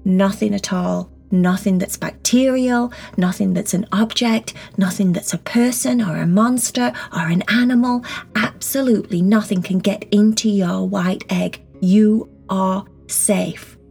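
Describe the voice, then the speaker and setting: Female meditation guide for children: hushed, husky-breathy delivery with a creaky edge, gentle and reassuring. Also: medium pitch, a little slow. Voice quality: soft, breathy, often near a whisper. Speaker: guided meditation teacher and host. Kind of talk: children's guided meditation, slow soothing instruction. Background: soft background music.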